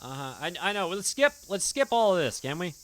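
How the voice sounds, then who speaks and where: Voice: medium pitch, big pitch swings, a little fast, a bit bright. A streamer playing a visual novel, chatting casually and reading game text aloud in a playful, joking way, often repeating phrases. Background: game music.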